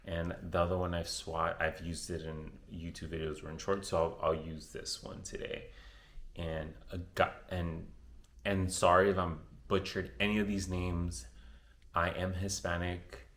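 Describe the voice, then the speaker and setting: Male beauty vlogger, very low voice, unhurried casual chat, echoey room. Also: little pitch movement, a bit bright. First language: Spanish. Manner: chatty, rambling, self-correcting. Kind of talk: casual makeup tutorial chat.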